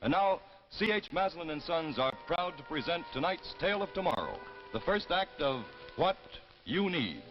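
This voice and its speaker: An American man announcing a 1950s anthology broadcast and reading the sponsor's pitch in a formal, polished, salesmanlike way. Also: big pitch swings, moderate pace, few pauses. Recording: muffled 1950s broadcast with music behind.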